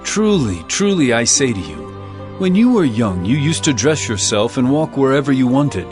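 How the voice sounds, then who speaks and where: Man acting male holy teacher, scripture drama, calm, commanding, tender, mid-pitched, lively intonation, music bed. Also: normal speed, close to an everyday voice. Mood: solemn, commanding.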